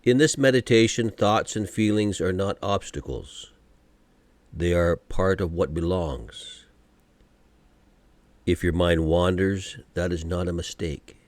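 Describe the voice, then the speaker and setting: A man guiding a meditation from a script in a gentle, soothing, unhurried manner, pausing often between short phrases. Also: deep voice, no room sound. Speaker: meditation guide. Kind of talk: guided meditation, scripted narration.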